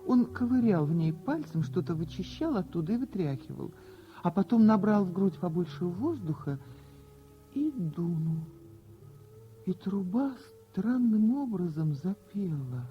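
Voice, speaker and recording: A woman narrating a fairy tale for radio in a theatrical, expressive way, with dramatic pauses. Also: on the low side. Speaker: storyteller, actor. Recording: dull radio recording with music behind. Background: music.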